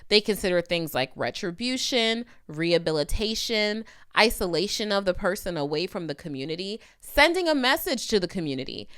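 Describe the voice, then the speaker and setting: A woman's voice: on the low side, a bit bright, clear, resonant tone. A woman giving conversational legal commentary, speaking directly to the viewer in an emphatic, opinionated manner. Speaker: legal commentator hosting a video channel.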